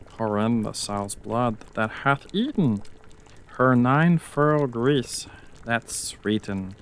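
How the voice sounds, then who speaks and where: A man playing a commanding chief witch, delivering measured, solemn incantations in a dramatic Shakespeare reading. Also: medium pitch, expressive, slight background noise.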